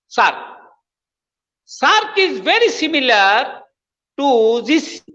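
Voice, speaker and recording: Man, slow lively delivery, bright tone, dull reverberant lo-fi audio. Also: very high voice. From Bangladesh. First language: Bengali. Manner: emphatic, repeats phrases for stress. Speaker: guest professor. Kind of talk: explanatory interview talk.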